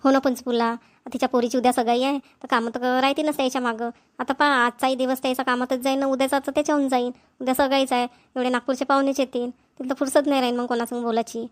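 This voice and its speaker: Casting: actress as chatty rural neighbour woman for a cartoon, high voice, brisk delivery, Vidarbha Marathi, practical temper. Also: little pitch movement, close to an everyday voice. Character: chatty, practical village women neighbours planning an engagement. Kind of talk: amateur cartoon dialogue.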